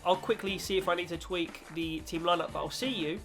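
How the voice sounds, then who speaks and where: A man's voice: high voice, fairly quick, a bit bright, faint music behind. A man hosting a gaming video with casual, chatty football commentary in informal British slang, slightly nasal from a cold.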